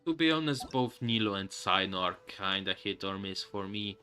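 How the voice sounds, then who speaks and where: Male streamer, lowish bright voice, slow rambling casual commentary, faint music behind. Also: lively intonation. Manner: hesitant, rambling, thinking aloud. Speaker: game streamer. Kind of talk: casual live gaming commentary.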